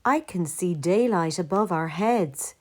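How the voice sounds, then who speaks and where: A woman voicing a kindly, protective male gander in a children's story, calm and courteous. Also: fairly low pitch. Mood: polite, apologetic. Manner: measured, courteous.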